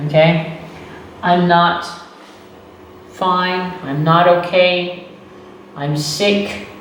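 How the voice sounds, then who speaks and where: A man, teaching beginner English slowly and patiently, repeating phrases and checking in with "okay" and "right". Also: sits high, steady tone, fairly bright tone. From Texas. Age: 30s. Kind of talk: slow, deliberate beginner English lesson. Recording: echoey room, voice far from the mic, faint noise.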